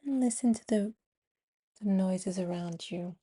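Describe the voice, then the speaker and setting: Slow, mellow woman guiding meditation. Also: mid-range voice, husky. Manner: soothing, unhurried instructions with long pauses. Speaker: meditation guide and podcast host. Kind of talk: guided yoga nidra meditation.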